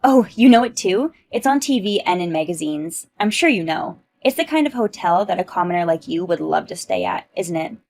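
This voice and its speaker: A woman's voice: on the low side, expressive, brisk, few pauses, a little mellow. A woman doing a haughty rich snob mom. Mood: snobbish. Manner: haughty, condescending. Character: snobbish boastful rich mom, condescending.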